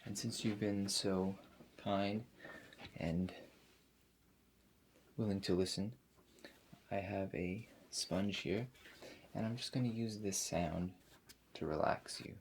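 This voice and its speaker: A man doing an ASMR roleplay as a spa attendant, addressing a client in a hesitant, rambling, unhurried manner. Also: sits low, a little hiss or hum.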